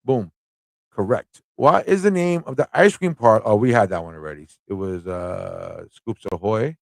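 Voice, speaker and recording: A man's voice: fairly low pitch, expressive, moderate pace, gravelly, dry recording. A man from the United States hosting a live trivia quiz, chatting casually with viewers and repeating questions as he reads them out. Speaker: livestream host running a trivia quiz.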